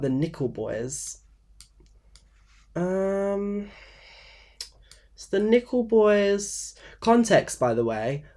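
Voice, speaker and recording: A man's voice: mid-range voice, a little mellow. Congested young man chatting about books. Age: 20s. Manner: rambling, many fillers and restarts. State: congested, croaky from a cold. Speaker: book vlogger. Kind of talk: casual unscripted talk to camera. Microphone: phone camera.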